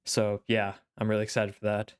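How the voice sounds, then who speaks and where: Young guy with a stuffy nose talking anime, kinda low smooth voice, lots of uhs. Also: completely flat delivery, a little fast. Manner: thoughtful, rambling, with frequent fillers. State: congested, clearing his throat. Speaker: anime reaction channel host. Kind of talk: casual solo commentary.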